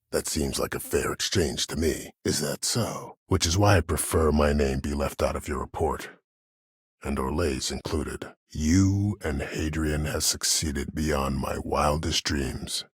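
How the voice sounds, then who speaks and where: An actor playing a disgraced, ruthless older military commander in a video game, speaking in a measured, formal, imperious and calculating way. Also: male voice, very deep voice, husky, no echo, clean studio sound, full character voice. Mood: smooth, persuasive. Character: disgraced ruthless old military commander, coldly self-justifying schemer. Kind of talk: dramatic game dialogue.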